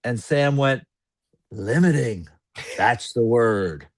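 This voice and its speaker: A man who calls hockey games, chatting casually on a podcast in a rambling, filler-heavy, animated way. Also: mid-range voice, slow pace. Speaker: hockey broadcaster guest.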